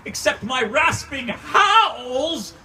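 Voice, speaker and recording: A distant, faintly noisy live recording of a man performing an ancient vampire count, lovelorn and bitter. He declaims slowly and theatrically in a Californian accent, full of anguish, in a high-pitched male voice. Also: big pitch swings, pushed and bright, exaggerated voice. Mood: anguished, raging. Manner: theatrical, declamatory, anguished, archaic poetic phrasing. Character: grieving, embittered centuries-old vampire count, lovelorn, raging at God. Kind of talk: dramatic monologue reading.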